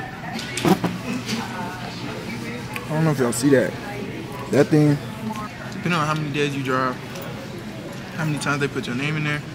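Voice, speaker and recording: A man's voice: a little high, very noisy. A young man vlogging casually to a handheld camera in a truck cab and parking lot, chatty and slangy, talking straight to his viewers. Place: truck cab, then parking lot and a large indoor building. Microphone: handheld camera at arm's length.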